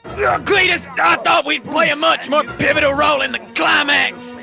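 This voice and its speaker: A man playing a dim, boozy small-town yokel with a creaky voice in an amateur radio B-movie parody. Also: very high-pitched, steady tone, normal speed, bright, forceful tone, soft background music, exaggerated voice. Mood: befuddled.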